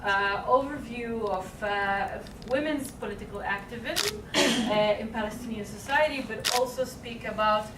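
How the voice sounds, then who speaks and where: A woman presenting at a conference panel, speaking haltingly with many fillers and restarts. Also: fairly high pitch. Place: conference hall. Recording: echoey room, far mic, noisy.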